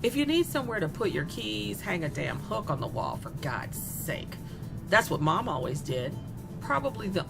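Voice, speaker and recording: Woman acting a flippant, gleeful young killer, animated. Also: mid-range voice, vocal fry. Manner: conversational, flippant, giggly, with drawn-out emphasis. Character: gleeful remorseless young female serial killer, vain and chatty. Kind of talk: dramatic first-person monologue in a horror audio story. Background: music.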